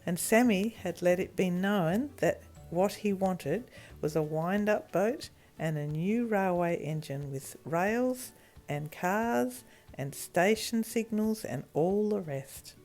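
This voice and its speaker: A woman reading a children's story in an expressive storytelling manner. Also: lowish voice, soft background music. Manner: animated, deliberate storytelling with acted character voices.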